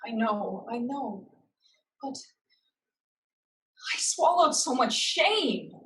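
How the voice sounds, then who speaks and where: A woman playing a young survivor burdened by shame, speaking earnestly and haltingly in a staged play reading. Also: a little high, big pitch swings, a bit bright, full character voice. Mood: anguished.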